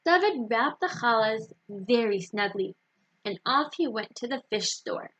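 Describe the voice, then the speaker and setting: A woman storyteller reading a children's storybook aloud in a deliberate, expressive way for young listeners. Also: fairly high pitch, rough recording.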